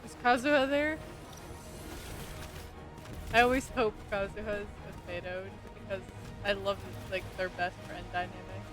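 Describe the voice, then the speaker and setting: Chatty woman streaming over loud game music. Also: mid-range voice, steady tone, a little slow, pauses often. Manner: chatty, reacting aloud to the game. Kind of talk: casual live game commentary. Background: video game music.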